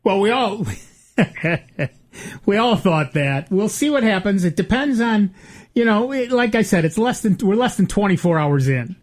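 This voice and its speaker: Chatty high-voiced man in his sixties. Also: expressive, unhurried. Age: 60s. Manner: chatty, laughs easily, restarts often. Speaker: radio host. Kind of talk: casual call-in radio talk.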